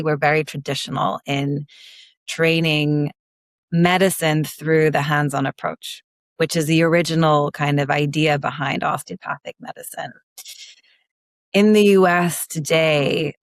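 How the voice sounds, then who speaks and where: A woman osteopath from Belgium, raised in London, with a blended American, Belgian and British accent, explaining her work in measured terms in a podcast interview. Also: low-pitched, fairly even intonation, no room sound. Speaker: manual osteopath guest.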